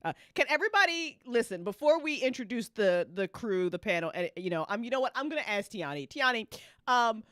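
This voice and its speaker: A woman hosting a casual after-show chat, excitable and playful, often repeating herself. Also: a little high, a little fast, no room sound, clean studio sound. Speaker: host.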